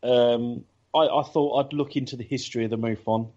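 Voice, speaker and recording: A man's voice: medium pitch, steady tone, fairly quick, darkish tone. A man hosting a casual radio chat show, talking with many ums and restarts. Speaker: podcast host. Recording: dull lo-fi audio.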